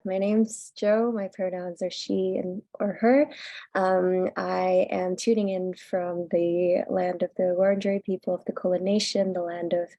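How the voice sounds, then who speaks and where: A woman's voice: lowish voice, little pitch movement, unhurried, warm tone, no echo, dull audio. A woman from Indonesia introducing her project on a video call in a casual, filler-heavy way, tired but upbeat. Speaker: fellowship presenter.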